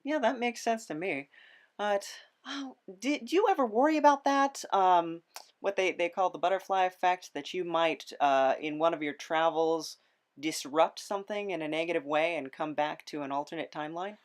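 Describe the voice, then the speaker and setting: A woman's voice: on the low side, normal speed. A woman hosting a casual interview, with an agreeable, slightly tentative way of talking. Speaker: podcast host, interviewer.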